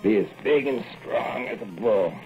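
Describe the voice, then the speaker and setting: An actor playing a young, dreamy plumber's helper with a gravelly voice, drawling in 1940s radio drama style. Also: male voice, fairly low pitch, lively intonation, moderate pace, natural voice. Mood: playful. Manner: drawling, daydreaming small-town talk. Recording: tinny old radio broadcast with faint music.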